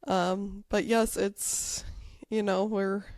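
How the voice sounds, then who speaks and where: A woman from Wyoming co-hosting a podcast in casual chat, croaky from a recent illness, chatty and self-deprecating. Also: medium pitch, little pitch movement, takes it slowly, darkish tone, no echo. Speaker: podcast co-host.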